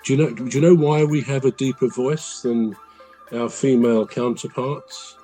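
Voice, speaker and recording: A man with a deep voice, hosting a podcast and explaining things at length in a casual, measured way. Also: warm tone, soft background music, low-quality recording. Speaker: podcast host.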